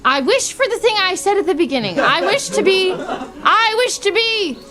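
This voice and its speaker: A woman playing a self-important chicken in a live improv game show, giving playful deadpan replies. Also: very high-pitched, moderate pace, slight background noise, exaggerated voice. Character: self-important chicken granted wishes, female. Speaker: improv performer. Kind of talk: improv comedy.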